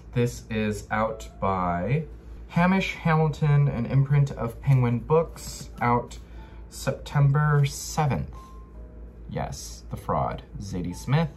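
A young American man chatting casually to camera as a book vlogger, slangy and given to dramatic emphasis. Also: mid-range voice. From Los Angeles, United States. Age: young adult. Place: small bedroom, indoors.

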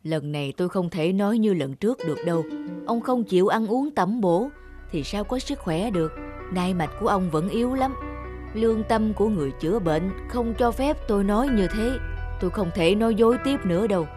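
A woman voicing a kindly, conscientious old male village healer in a children's cartoon, giving advice in a measured, earnest way. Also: mid-range voice, expressive, close to an everyday voice. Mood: firm, troubled. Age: older. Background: music.

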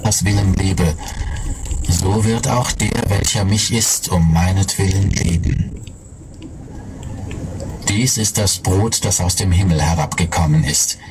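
A man reads scripture aloud in a slow, solemn cadence. His voice is very deep. Noise runs under the playback. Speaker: scripture reader. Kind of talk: recorded Bible reading.

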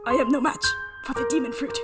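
A woman's voice: high-pitched, steady tone, fast talker, lightly acted. A grandly tragic male dragon lover acted out by a woman from a comic fan story. He declaims with melodramatic devotion, in a raised voice over background music. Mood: tragic, dying.

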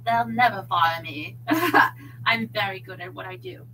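A woman voicing a suave, smooth-talking British man with a velvety, purring delivery in an audiobook story. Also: mid-range voice, a little slow, sharp tone, slight background noise, voice pushed down, poor audio. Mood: smooth, amused.

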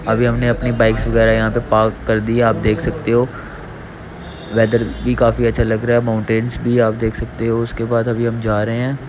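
A young man giving casual travel vlog commentary, pointing out the surroundings to viewers. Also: mid-range voice. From Jammu, India. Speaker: vlogger. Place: outdoors, on motorbike and hillside trail. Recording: noisy outdoor motorbike and trail recording.

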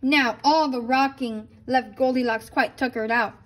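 A woman with a very high voice reads a picture book aloud for children. She tells it expressively and drops in short asides. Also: measured pace. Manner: animated storytelling with brief asides. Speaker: storybook reader.

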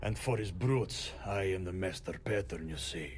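A man voicing a huge, stoic, brawny ally in a video game cutscene, speaking in a measured, formal way. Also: low-pitched, slight background noise, natural voice. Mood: calm, persuasive.

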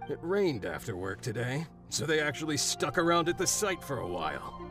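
An actor playing a burly, easygoing male worksite foreman in a game, talking in a folksy, relaxed way. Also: on the high side, moderate pace, soft background music.

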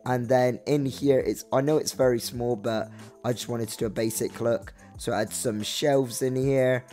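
A young man with a croaky voice narrating a casual walkthrough of a Minecraft build, chatty and rambling. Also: medium pitch, fairly even intonation, moderate pace, warm tone, soft background music. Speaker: Minecraft builder showing his map.

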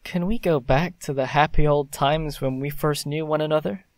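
An actor playing a playful, proud young newlywed gentleman in an audio drama, with wry formality. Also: on the high side, moderate pace, no echo, natural voice. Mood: earnest.

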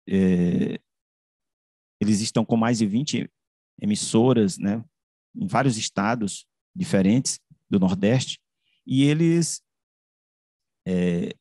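A Brazilian man giving an academic slide presentation over a video call, halting, with frequent self-corrections and tag questions. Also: mid-range voice, darkish tone, no room sound, studio quality. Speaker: researcher presenting a study. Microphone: computer mic on a video call.

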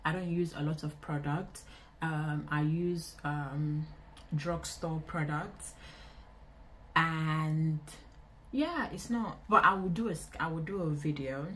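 A woman in her twenties with a Nigerian accent, chatting casually to camera in an indoor vlog, expressive and repeating phrases for emphasis. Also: deep voice, slow pace, a little hiss or hum. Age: 20s. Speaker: vlogger. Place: small indoor room.

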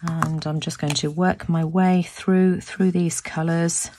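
A woman with a croaky voice talking through a craft demonstration at her table, thinking aloud as she works and often repeating words. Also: on the low side, flat delivery, slow pace, background noise. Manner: chatty, thinking aloud with restarts. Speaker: craft video host. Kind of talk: casual craft tutorial narration. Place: home craft room, tabletop.

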